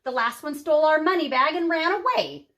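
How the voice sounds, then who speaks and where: An excitable, bossy giantess out of a storybook, put on by a woman. Her voice is pitched high and shrill, and she exclaims her words. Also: a little fast. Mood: desperate.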